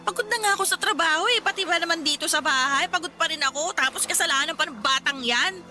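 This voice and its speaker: High, whiny young Filipino mother. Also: quick pace, pushed and bright, soft background music. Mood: resentful, whining. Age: young adult. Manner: whiny, complaining, talking back. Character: sullen, resentful young mother, whiny and defiant granddaughter. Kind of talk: Filipino radio drama acting.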